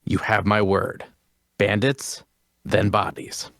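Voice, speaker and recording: A man playing a calm, reasonable leader of adventurers, placating and measured, in a fantasy drama table read. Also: lowish voice, vocal fry, no echo, clean studio sound, heavily acted. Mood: reassuring.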